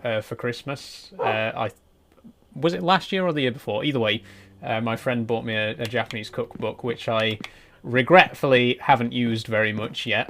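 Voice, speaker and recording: A man's voice: medium pitch, slight background noise. A man in his twenties streaming a building game, chatting casually and answering viewers' messages as he plays. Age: 20s. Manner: relaxed, rambling, answers chat messages. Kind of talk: casual live-stream chat while playing.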